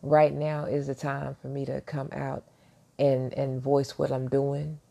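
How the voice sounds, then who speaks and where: Soft-spoken gravelly man, deep and mellow. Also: completely flat delivery, a little slow. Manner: speaking quietly so as not to wake neighbors; self-assured, reflective, rambling with restarts. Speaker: podcast host. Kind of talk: casual motivational monologue. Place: at home, early morning.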